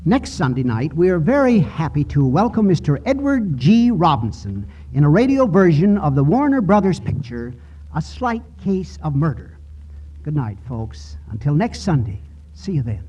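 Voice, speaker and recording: Casting: male 1940s radio host, formal presenting style. Somewhat high, lively intonation, slightly noisy old broadcast. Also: normal speed, highs cut off. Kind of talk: 1940s radio show hosting, formal sign-off. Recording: old radio recording with faint noise.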